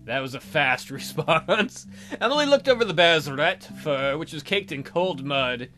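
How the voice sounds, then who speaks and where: A man's voice: fairly high pitch, lively intonation, moderate pace, bright, forceful tone. A man narrating a let's play of a visual novel, reading aloud with playful, irreverent asides. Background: faint guitar music.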